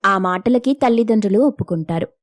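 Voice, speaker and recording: A woman narrator delivers a scripted moral tale. Her voice is mid-pitched for a woman. The recording is dry and close, without room sound. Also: a little fast, no room sound.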